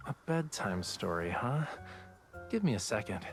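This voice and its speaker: A man's voice: on the low side, very animated, unhurried, soft background music. An actor playing a playful young male love interest in a romance game, talking in a coy, teasing drawl.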